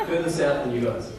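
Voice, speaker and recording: An Australian man in his forties giving a joking, self-deprecating rally speech with many fillers. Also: extremely high pitch. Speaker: company executive giving a speech. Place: large event hall on stage. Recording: echoey hall, from far off, crowd noise.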